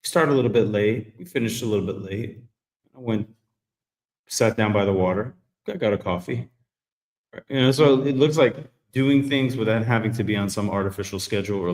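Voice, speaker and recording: A man hosting a live men's group talk show, chatting in a relaxed, rambling way. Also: lowish voice, roomy sound. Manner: relaxed, rambling, self-correcting. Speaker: host. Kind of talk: casual live chat.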